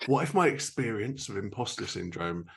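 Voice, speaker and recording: Man, slightly low voice, gravelly creak, podcast host, casual chat, self-deprecating, irreverent. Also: very animated, measured pace, a bit bright.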